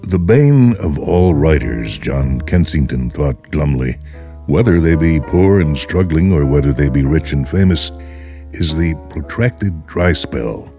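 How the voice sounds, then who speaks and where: A very deep-voiced man narrates short fiction as host and reader. His delivery is measured and theatrical, with deliberate pauses. Music runs clearly beneath his voice. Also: expressive. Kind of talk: dramatic scripted narration of short stories. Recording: music bed behind the voice.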